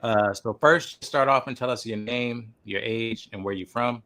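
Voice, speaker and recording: A man's voice: medium pitch, unhurried, pushed and bright. A forty-year-old man hosting a casual podcast interview in a laid-back way, often repeating short affirmations.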